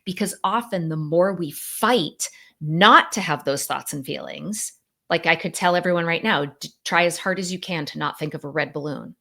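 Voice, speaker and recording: A middle-aged woman psychologist, a podcast guest, explaining ideas in an animated, conversational way, full of fillers like "like" and "you know.". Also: fairly low pitch, normal speed.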